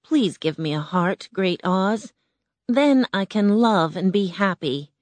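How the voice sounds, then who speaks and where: A narrator voicing a gentle tin man in a calm, plain voice. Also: medium pitch, takes it slowly, no echo, voice pushed up.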